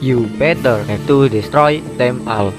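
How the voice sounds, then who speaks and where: A man's voice: medium pitch, unhurried, music in the background, close to an everyday voice. A young man playing a cunning, scheming royal courtier in a radio drama, urgent and insinuating. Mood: goading. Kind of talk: student radio drama acting.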